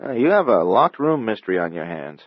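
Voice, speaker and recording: A man's voice: on the low side, big pitch swings, brisk, no echo, pitched above the performer's own voice. A man voicing an astonished, insistent man laying out a locked-room puzzle, on a slightly dull recording.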